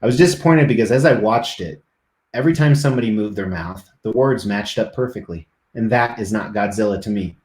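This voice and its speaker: A man's voice: on the low side, fairly quick, a little mellow, roomy sound. A middle-aged man live streaming, chatting casually and rambling to his viewers.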